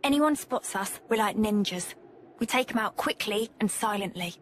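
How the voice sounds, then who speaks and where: A woman's voice: medium pitch, lively intonation, moderate pace, a little hiss or hum, natural voice. A woman playing a brash, playful young female fighter in a video game, with a British accent and cheeky, punchy slang. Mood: alert. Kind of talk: video game cutscene acting.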